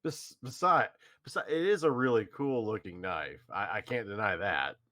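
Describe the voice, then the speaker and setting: A man's voice: lowish voice, expressive, moderate pace, bright, forceful tone, no room sound, clean studio sound. A man reading a nervous teenage boy in a staged reading, stammering and hesitating through his lines. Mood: flustered.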